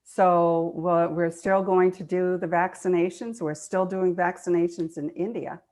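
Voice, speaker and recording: A woman's voice: on the low side, steady tone, measured pace, darkish tone. An older woman speaking conversationally as a guest in an online meeting, explaining things earnestly and repeating words for emphasis. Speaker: guest speaker, nonprofit foundation trustee.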